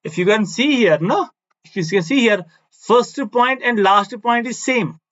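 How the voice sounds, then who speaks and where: A high-pitched male physics teacher whose first language is Kashmiri, explaining step by step in simple English. He repeats himself for emphasis and keeps asking "no?" to check that students follow. The recording sounds slightly muffled and lo-fi. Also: a little slow, a bit bright. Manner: repeats key phrases, asks "no?" for agreement. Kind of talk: blackboard physics lecture.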